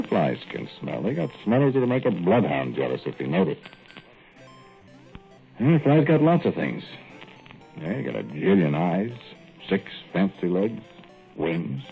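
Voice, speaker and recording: A folksy old ex-plumber. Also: male voice, sits low, gravelly, heavily acted. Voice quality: folksy, rambling, colloquial. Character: folksy older ex-plumber reminiscing, talkative man. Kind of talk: 1940s radio drama acting. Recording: tinny old radio broadcast with faint music.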